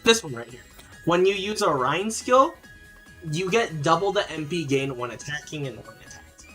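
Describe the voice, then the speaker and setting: A man's voice: fairly high pitch, lively intonation, normal speed, fairly bright tone, soft background music. A man streaming a game, answering chat questions casually with plenty of fillers and restarts. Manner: rambling, many fillers and restarts.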